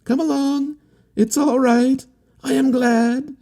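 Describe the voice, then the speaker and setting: A gabbling, high-voiced boyish young Russian. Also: male voice, fairly even intonation, mellow, heavily acted. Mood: cheerful. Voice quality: high, falsetto-like cries. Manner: rapid, eager and changeable.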